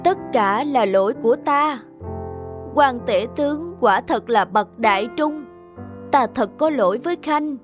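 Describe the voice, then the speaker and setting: A woman's voice: high-pitched, animated, measured pace. A woman voicing a remorseful, dignified emperor in a historical cartoon, with formal, commanding delivery. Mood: regretful. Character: remorseful, dignified emperor in an old royal court. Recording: music behind the voice.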